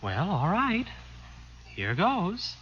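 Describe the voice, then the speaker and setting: An actor playing a flustered, earnest ruler who swings between hesitation and bossy orders, in 1950s radio style. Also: male voice, high-pitched, huge pitch swings, extremely slow, exaggerated voice. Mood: flustered, apologetic. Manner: hesitant, then barking orders. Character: excitable, flustered new male overlord, bossy and earnest. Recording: noisy, muffled 1950s radio recording.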